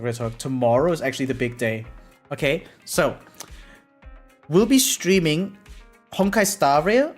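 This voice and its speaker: Woman streamer just chatting to her viewers, lowish voice, game music in the back. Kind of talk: casual live-stream chat and reactions.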